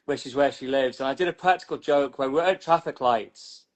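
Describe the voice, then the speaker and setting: A man's voice: mid-range voice, a little fast. Rambling British man comedian. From Newcastle, England. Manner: rambling, many "like" fillers, self-mocking. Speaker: stand-up comedian. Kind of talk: casual chat about his act.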